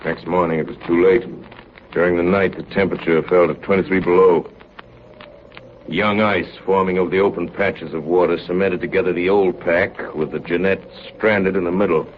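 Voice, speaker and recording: An actor playing a ship's chief engineer, gravely narrating a doomed Arctic expedition in a 1930s radio drama. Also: male voice, low-pitched, steady tone, normal speed, natural voice. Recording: muffled, noisy 1938 radio broadcast recording.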